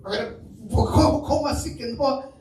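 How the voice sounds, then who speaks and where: A man's voice: very high voice, normal speed, darkish tone. A man from Brazil preaching a sermon, mixing Portuguese with Spanish and putting questions to the congregation. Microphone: far from the mic. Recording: echoey room, mic far off, faint noise.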